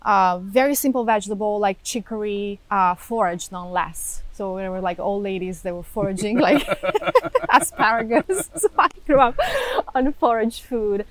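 A woman from Italy with an Italian accent, a wine shop owner chatting casually in an interview, halting with frequent restarts and easy laughter. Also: mid-range voice.

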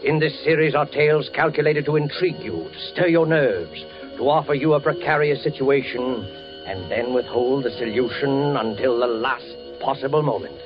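A man announcing an old radio drama in a formal, measured and ominous way, 1940s style, muffled like an old broadcast over music. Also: medium pitch. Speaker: show announcer.

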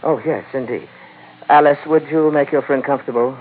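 Suave, scheming Chinese merchant villain played by a male actor, elaborately polite with quiet menace; vintage radio drama, narrow noisy sound. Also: medium pitch, steady tone, lightly acted. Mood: smooth, businesslike. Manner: formal, elaborately polite, unhurried. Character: suave, sly Chinese merchant crime boss, courteous menace. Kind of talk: old-time radio drama acting.

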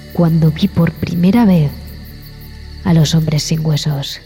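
An actress playing a haunted explorer who tells a horror tale in a dramatic, deliberate way, in audio fiction. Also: female voice, fairly low pitch, mellow, natural voice. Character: haunted explorer recounting a terrifying jungle expedition.

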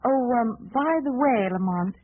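A woman's voice: a little high, expressive, unhurried. An actress playing a genteel, inquisitive woman companion to a sleuth, light in manner, in 1940s radio drama style. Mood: curious. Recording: muffled vintage radio broadcast.

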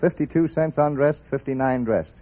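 A man's voice: medium pitch, moderate pace, very noisy. A man from Arkansas with an American accent, answering questions plainly as a quiz show contestant. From Arkansas, United States. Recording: muffled vintage radio recording.

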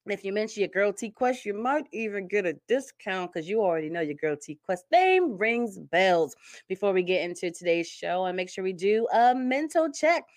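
Woman host from Connecticut, chatty and upbeat, mid pitch, dry close sound. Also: very animated, moderate pace, no echo. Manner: chatty, upbeat, laughs often. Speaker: podcast host. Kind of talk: casual interview chat.

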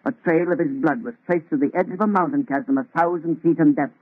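An actor playing a kindly, reassuring professor with formal, measured delivery in an old radio drama. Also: male voice, fairly high pitch, lightly acted. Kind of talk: 1930s radio drama acting. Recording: thin, tinny old radio recording.

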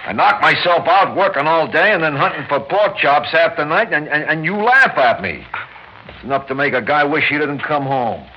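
An American actor playing a hard-luck, hot-headed but loving working husband in a radio drama, blurting and exasperated. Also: male voice, a little high, animated, normal speed. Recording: muffled, noisy old radio drama recording.

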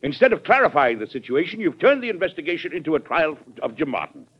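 An earnest, fatherly American president in a vintage radio play. His delivery is measured and persuasive but moves expressively through a wide range, with a slightly husky edge. The recording is old and muffled. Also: a little high, very animated. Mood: indignant. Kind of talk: vintage radio drama acting.

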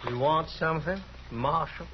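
A man's voice: on the high side, moderate pace, slight background noise, natural voice. An actor playing an insolent cowboy gunman with a mocking drawl, on an old 1950s radio drama. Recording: dull 1950s radio broadcast.

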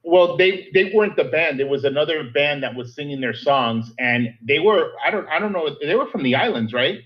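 A man in casual podcast chat, animated and joking as he talks with emphasis. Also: a little high, normal speed. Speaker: podcast co-host. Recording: dull lo-fi sound.

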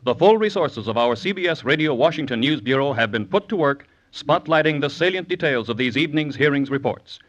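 A man's voice: on the high side, expressive, fairly quick, hardly any pauses, a bit bright, lo-fi sound. A man reading scripted 1950s radio announcements in a formal, polished way.

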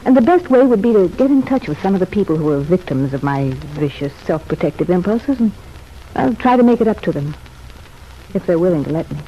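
A woman's voice: fairly low pitch, very animated, a little fast. An actress playing a cultivated, self-examining young woman convalescing in a sanitarium, speaking formal, articulate lines in an old radio soap opera. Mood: earnest, reflective. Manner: formal, articulate, hesitant phrasing. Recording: muffled, noisy old radio recording.

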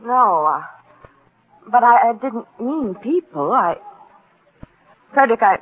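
An actress playing a wealthy, affectionate wife with hidden unease, in a theatrical, genteel 1940s radio style. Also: female voice, fairly high pitch, lively intonation, slow pace, breathy edge, full character voice. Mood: uneasy. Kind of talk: 1940s radio drama acting. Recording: thin, phone-like vintage radio recording.

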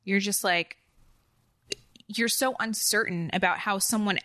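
Young New Jersey woman, podcast guest, candid and chatty, dry close sound, bright forceful tone. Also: medium pitch, measured pace, no room sound. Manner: open, filler-heavy, confiding. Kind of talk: candid casual chat.

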